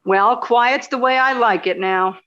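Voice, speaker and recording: Woman playing a high-voiced, sarcastic lady reporter. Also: a little slow, a bit bright, lo-fi sound. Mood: wry. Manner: sarcastic, wry. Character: sarcastic, indignant woman radio journalist, kidnapped by witches. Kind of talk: radio play dialogue.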